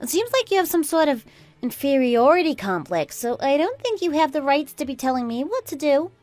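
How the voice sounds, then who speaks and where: A woman voices a catty, boastful sister-in-law for an audio drama. Her voice sits high and animated, preachy and smug. It is recorded close and dry, with music behind it. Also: a little fast, no room sound. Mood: indignant. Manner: animated, preachy, boastful, swooping into indignant questions. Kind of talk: scripted radio drama dialogue.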